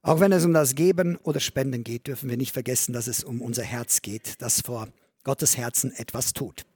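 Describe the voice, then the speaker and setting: A man preaching a sermon in a church, earnest, rising loud on key phrases and sinking to a near whisper. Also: mid-range voice, normal speed, slightly rough, dry recording. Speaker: pastor.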